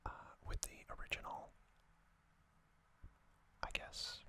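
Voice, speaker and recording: An ASMR host whispering in a breathy, unvoiced voice, with hushed, deliberate phrasing and long pauses. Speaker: host of an ASMR trivia channel. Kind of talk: ASMR, whispered reading and commentary.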